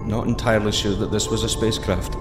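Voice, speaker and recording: An actor playing a curious, cheeky astronaut explorer, informal and wry, in a sci-fi audio drama. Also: male voice, on the low side, little pitch movement, fast, close to an everyday voice. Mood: thoughtful. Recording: music behind the voice. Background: music.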